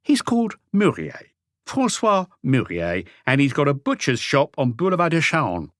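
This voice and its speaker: A man's voice: a little high, huge pitch swings, normal speed, no echo, clean studio sound, pitched above the performer's own voice. A man voicing a self-satisfied, disdainful male suspect in his late twenties for an audiobook. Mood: smug. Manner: smug, insistent.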